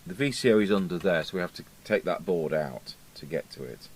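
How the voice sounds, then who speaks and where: A middle-aged British man giving an informal, unscripted hands-on demonstration, musing aloud with hesitant pauses. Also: sits low, lively intonation. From United Kingdom. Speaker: radio repair technician. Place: indoor radio repair workbench. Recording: clearly noisy home workshop recording.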